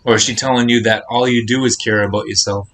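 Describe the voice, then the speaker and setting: A man's voice: medium pitch, steady tone, fast talker, fairly bright tone. A man livestreaming a game, talking casually and bluntly as he hands out advice to his chat. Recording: game music faintly behind the voice. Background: game audio and music.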